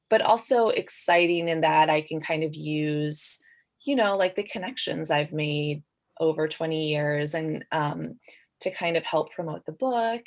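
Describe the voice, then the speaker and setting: Middle-aged woman, deep register, guest author, casual panel talk. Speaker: debut novelist, guest.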